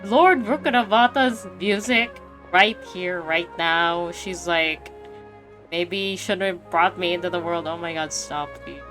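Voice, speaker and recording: A young woman comments casually on a game as she streams it. Her voice is slightly low and slow-paced, with slangy, reactive delivery. Faint music runs behind her.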